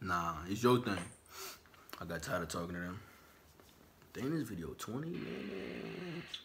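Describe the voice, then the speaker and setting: A man's voice: deep voice, sing-song, unhurried, creaky, background noise. Laid-back young man teasing on webcam. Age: young adult. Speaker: vlogger on random video chat. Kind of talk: casual teasing chat. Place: small bedroom indoors. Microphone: webcam or phone camera.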